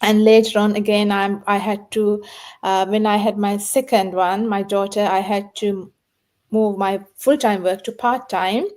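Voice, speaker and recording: A woman's voice: medium pitch, steady tone, unhurried, darkish tone. A woman in her thirties from Sri Lanka telling her story on a video call, eager and full of fillers. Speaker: guest. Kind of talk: casual self-introduction on video call.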